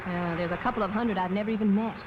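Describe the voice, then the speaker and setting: A muffled, noisy 1940s radio recording carries a woman acting a stage-star wife. She is wry and a little weary, delivering period comedy at a medium pitch. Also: fairly even intonation, very fast, close to an everyday voice. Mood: sarcastic.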